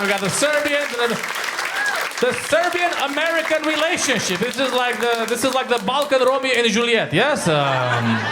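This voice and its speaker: High, lively comedian working the crowd. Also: male voice, noisy background. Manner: teasing, animated, laughing through jokes. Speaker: stand-up comedian doing crowd work. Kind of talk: live stand-up crowd work. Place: comedy club before a live audience. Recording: echoey club with crowd laughter.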